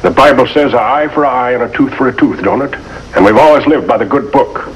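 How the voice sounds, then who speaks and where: A man's voice: medium pitch, quick pace, husky, lightly acted. An actor playing a proud, vengeful backwoods father with a rural American accent in a 1950s television drama. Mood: goading, righteous. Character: stern, proud, vengeful backwoods family patriarch. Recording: faintly noisy old TV soundtrack.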